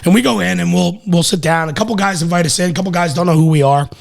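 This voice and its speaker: A man's voice: high voice, fast, gravelly. A middle-aged man talking casual shop on a podcast, emphatic and salty, often repeating himself for weight. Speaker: podcast host and firefighter. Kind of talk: casual, animated shop talk. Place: small podcast room indoors at a table. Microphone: podcast microphone on a stand.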